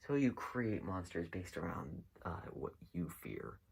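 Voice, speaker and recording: Male host, deep crackly voice, unhurried pace, casual commentary, halting and rambling delivery. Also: sing-song, gravelly, slightly rough. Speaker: host of an internet mystery series. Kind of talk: casual unscripted video commentary.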